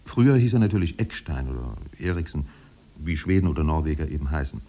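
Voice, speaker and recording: An actor playing a composed, wry storyteller narrating a 1950s literary radio drama. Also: male voice, very deep voice, animated, fast, mellow, full character voice. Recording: dull old radio recording.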